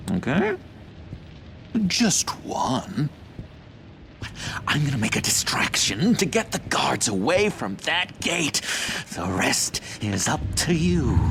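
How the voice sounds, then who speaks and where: An actor playing a gleefully menacing, theatrical madman in a video game, creaky and swinging between hushed confiding and shouting. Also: medium pitch, background noise. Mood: scheming. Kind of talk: dramatic video game acting.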